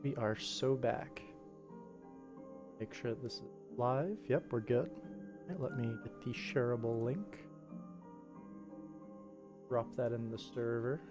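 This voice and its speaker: A man with a mid-pitched voice hosts a livestream as an animator. He talks casually while he works, with faint music playing behind him. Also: slow pace, long pauses. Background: faint background music.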